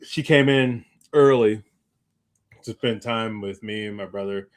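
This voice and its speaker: A man co-hosting a podcast in casual chat, with a halting, meandering, slangy way of talking. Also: on the low side, slight background noise. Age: adult, parent of young child. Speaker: podcast co-host.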